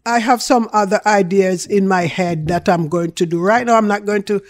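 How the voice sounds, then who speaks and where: A woman's voice: mid-range voice, moderate pace, dry recording. An older woman from Jamaica with a Jamaican accent, chatting casually as an interview guest. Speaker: interview guest, small food business owner.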